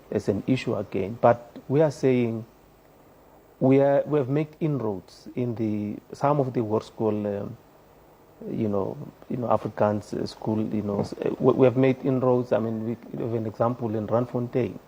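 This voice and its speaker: Gravelly South African man, hesitant. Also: fairly low pitch, fairly even intonation, normal speed, dark tone, a little hiss or hum, low-quality recording. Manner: earnest, hesitant, many fillers. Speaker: studio guest. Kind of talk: panel discussion.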